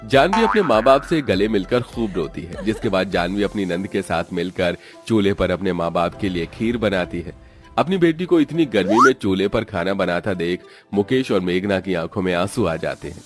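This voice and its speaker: A man's voice: deep voice, expressive, normal speed. A man narrating a scripted story as a storyteller. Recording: music under the voice. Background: background music.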